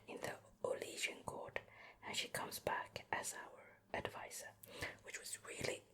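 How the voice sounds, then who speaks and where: Woman doing asmr, soft breathy whispering, really slow and lingering, right up close on the mic. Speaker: ASMR video host. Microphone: close ear-to-ear stereo microphone.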